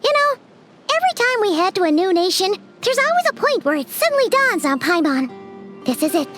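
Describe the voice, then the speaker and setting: Bubbly tiny companion; very high, lively, over game score. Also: female voice, a little fast, heavily acted. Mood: chirpy. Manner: chirpy, refers to herself in third person. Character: small floating girlish companion, bubbly and greedy. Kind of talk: game cutscene dialogue. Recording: game audio with music behind.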